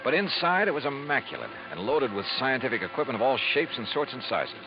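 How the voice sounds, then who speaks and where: Hard-boiled insurance investigator, lively delivery, dull old radio recording with music. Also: male voice, mid-range voice, quick pace. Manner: easygoing, wry first-person narration. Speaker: narrator and lead. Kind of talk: 1950s radio drama acting.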